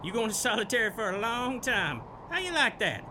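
An actor playing a sadistic prison guard who taunts and gloats in an audio drama. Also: male voice, sits high, very animated, a little fast, noisy background. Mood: gloating.

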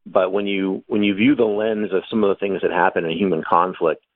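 A man, an author on a panel, explaining his story at length with thoughtful pauses and many fillers. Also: sits low, flat delivery. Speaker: author guest. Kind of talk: casual panel discussion. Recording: tinny phone line.

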